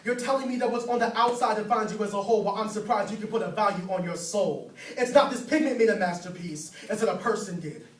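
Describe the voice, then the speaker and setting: A man's voice: high-pitched, fast, few pauses. A man performing slam poetry as a spoken word poet, with a rhythmic, rhyming, declamatory delivery. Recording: roomy, distant mic, faint noise.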